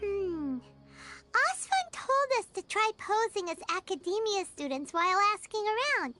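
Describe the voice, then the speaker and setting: A woman's voice: very high voice, very animated, unhurried, pushed and bright, heavily acted. A woman voicing a small, chatty floating fairy girl companion who talks about herself by name, in a video game. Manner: chirpy, refers to herself by name. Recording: game audio with background music.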